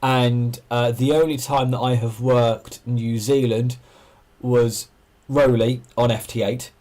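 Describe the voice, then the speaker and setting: A man's voice: medium pitch, steady tone, slow, darkish tone. A man hosting an amateur radio livestream, chatting casually and thinking aloud as he explains things and answers viewers.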